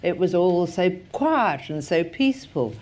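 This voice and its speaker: A woman chairing a festival panel discussion, talking in a halting, wry way with many pauses and restarts. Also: lowish voice, very animated, a little mellow. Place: festival stage.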